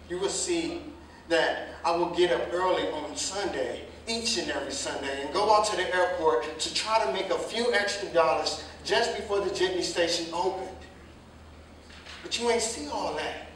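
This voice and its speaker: Echoey, high-voiced young working man, earnestly defensive, acted by a man. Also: unhurried, fairly bright tone. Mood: earnest, pleading. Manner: emphatic, repetitive, impassioned vernacular delivery. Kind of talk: staged reading of a stage drama. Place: live staged reading, recorded from a distance indoors. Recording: very echoey room, distant mic, faint noise.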